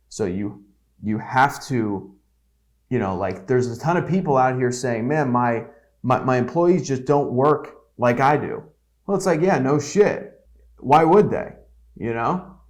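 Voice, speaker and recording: A man's voice: medium pitch, a little mellow. A man in his twenties, a guest giving blunt, candid business advice in a casual podcast chat. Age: 20s. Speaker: podcast guest, agency CEO.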